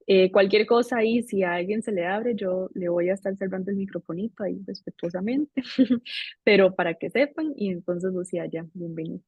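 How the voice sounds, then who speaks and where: A friendly, chatty woman hosts an online session over a video call. Her voice sits in a middle pitch range and sounds dry and close, with no room echo. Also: normal speed, slightly rough, narrow, tinny audio. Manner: friendly, chatty, laughs easily. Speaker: host.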